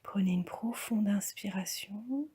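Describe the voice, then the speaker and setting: Slow, breathy woman guiding relaxation. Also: medium pitch. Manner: calm, soft, breathy, often near-whispered delivery. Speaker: yoga nidra instructor. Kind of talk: guided relaxation meditation.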